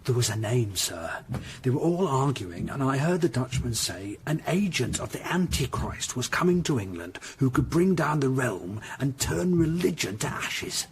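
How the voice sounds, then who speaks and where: A man's voice: medium pitch, very animated, normal speed, slight background noise. An actor playing an anxious, hesitant Tudor master tradesman giving reluctant, deferential witness in a period radio drama. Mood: deferential, confiding. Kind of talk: period audio drama acting.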